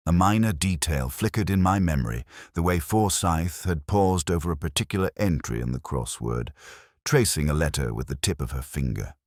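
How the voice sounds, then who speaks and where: A man playing a brooding, intellectual police detective, narrating a mystery story in a measured, contemplative, literary manner. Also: very deep voice, dry recording, clean studio sound. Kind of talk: scripted first-person detective story narration.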